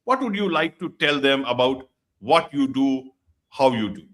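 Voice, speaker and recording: A man's voice: mid-range voice, lively intonation, normal speed, a bit bright. A man hosting an online interview show, speaking formally and repeating his welcomes. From India. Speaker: show host.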